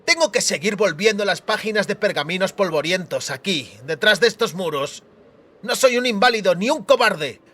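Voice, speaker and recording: Fantasy audiobook youth , man performing: raised pitch, hot-headed, protesting, eager to prove himself. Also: lively intonation, normal speed, a bit bright. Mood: indignant. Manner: impassioned, protesting, pleading. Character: passionate, indignant young male scribe eager for war.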